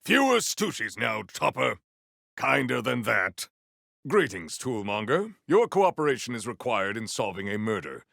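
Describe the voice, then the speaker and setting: An actor voicing a proud, honourable video game warrior who speaks in a formal, archaic, solemn and theatrical way. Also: male voice, medium pitch, sing-song, a little slow, creaky, a bit bright, no room sound, clean studio sound, exaggerated voice. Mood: formal, measured. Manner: formal, archaic, grandiose phrasing.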